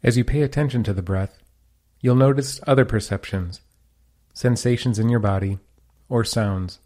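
A man leading a guided meditation as a teacher, giving calm, soothing instructions. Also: mid-range voice, normal speed.

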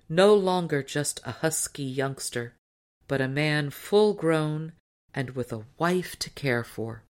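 A woman reading a tall tale as an audiobook narrator, in measured, clearly phrased scripted storytelling. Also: low-pitched.

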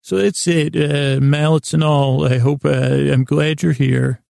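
A man hosting a bedtime podcast in a rambling, halting monologue, gravelly and creaky, with long pauses, self-corrections and silly self-deprecation. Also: fairly high pitch, steady tone, dark tone, no echo, clean studio sound. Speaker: podcast host.

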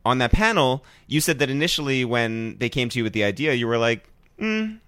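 Bright-voiced upbeat podcast host. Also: male voice, mid-range voice, lively intonation, fairly quick, clean tone, no echo. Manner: upbeat, leads the conversation, laughs easily. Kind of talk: casual interview chat.

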